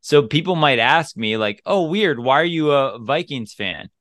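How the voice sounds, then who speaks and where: A man co-hosting a podcast, explaining dating conversation tips in a relaxed, chatty way. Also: fairly high pitch, normal speed, no room sound, clean studio sound. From United States. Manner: relaxed, explanatory, repeats himself to dig in. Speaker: podcast co-host. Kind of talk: casual advice talk.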